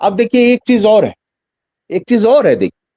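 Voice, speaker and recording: A man's voice: sits high, huge pitch swings, normal speed, warm tone, no room sound, clean studio sound. A man speaking as a guest commentator in a news interview, emphatic, repeating phrases and asking rhetorical questions.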